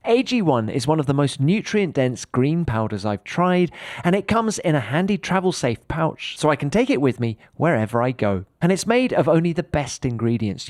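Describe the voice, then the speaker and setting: A man's voice: a little high, darkish tone, no room sound, studio quality. A British man hosting a sports podcast, speaking in a presenter's style. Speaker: podcast host. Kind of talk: podcast chat and sponsor read.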